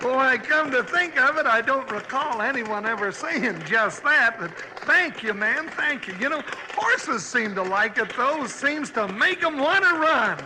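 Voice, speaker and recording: A man's voice: very high voice, expressive, a little slow, hardly any pauses. A man playing a jolly, rambling cowboy sidekick with a Western drawl and cracking comic voice in a vintage radio western. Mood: jovial. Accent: American Western drawl. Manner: rambling, rustic, good-humoured. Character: folksy, jolly cowboy sidekick wagon driver. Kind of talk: 1950s radio western acting. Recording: noisy vintage radio recording.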